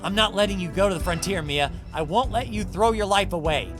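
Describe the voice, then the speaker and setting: A man's voice: high voice, brisk, music in the background, natural voice. An actor playing an anxious, defeatist young male mage in a video game, with a dramatic, whiny delivery. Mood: bitter, desperate.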